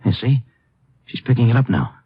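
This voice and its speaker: An actor playing a loyal, watchful agent who assists the hero in an old radio detective drama, with a husky voice. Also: male voice, medium pitch, sing-song, a little fast, dry recording. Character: loyal, watchful male agent, hero's sidekick. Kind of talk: 1950s radio detective acting. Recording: muffled old radio recording.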